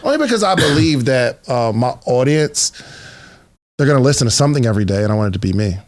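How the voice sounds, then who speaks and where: A man's voice: mid-range voice, expressive, fast, no echo, studio quality. A man hosting a podcast, interviewing and chatting in a casual, conversational way.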